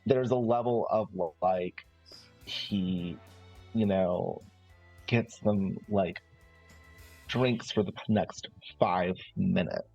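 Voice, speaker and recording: A man's voice: low voice, takes it slowly, gravelly, long pauses, darkish tone, breathy edge, soft background music. A man playing a roleplaying game, casually improvising a description of his character and searching for words as he goes. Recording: slightly dull online stream audio.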